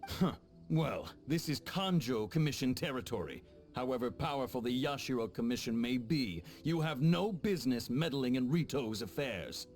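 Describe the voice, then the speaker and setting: An actor playing a stern, officious chief of tax officers in a video game, commanding and haughty. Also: male voice, mid-range voice, expressive, normal speed, a little mellow, soft background music, lightly acted. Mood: wary. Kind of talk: English video game dub acting.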